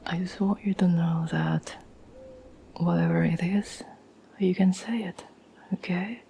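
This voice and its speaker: A woman playing a caring, patient girlfriend in an ASMR roleplay, speaking in a soft, breathy whisper with a gentle, reassuring manner. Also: fairly low pitch, steady tone, slight background noise, poor audio. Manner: gentle, slow, soothing, reassuring.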